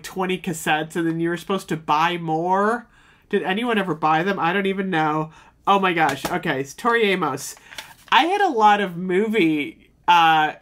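A woman in her fifties chatting to camera at home, nostalgic and talkative, often exclaiming and filling with "um". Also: medium pitch. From United States. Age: 50s. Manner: chatty and nostalgic, many "oh my gosh" and "um". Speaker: vlogger. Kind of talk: casual chat to camera. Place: small home room with shelves.

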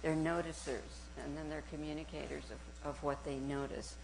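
Soft-spoken woman lecturing in an auditorium. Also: deep voice, normal speed, gravelly, background noise. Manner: animated and searching, with restarts and small laughs. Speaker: speaker giving a talk. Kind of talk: university lecture with reading aloud. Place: university auditorium.

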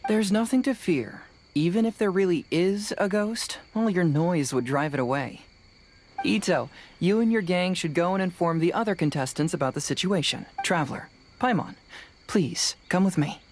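A man playing a calm, sharp young detective in a video game, composed, analytical and polite. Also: high voice, normal speed, slight background noise.

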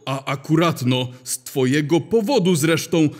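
A man playing a grumpy, sharp-tongued wizard in an audio drama, talking with weary scorn. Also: on the high side, animated, a little slow. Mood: irritated, weary.

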